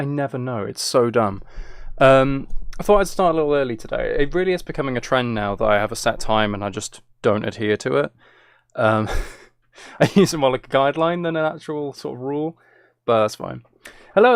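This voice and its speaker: A man talks through his game stream in a loose, self-deprecating way, chuckling as he goes. His voice sounds a little worn and hoarse, sitting at an ordinary middle pitch. The sound is dry and close to the mic. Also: no room sound. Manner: chatty, self-deprecating, laughs often. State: slightly hoarse from recording. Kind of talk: casual live-stream chat while playing.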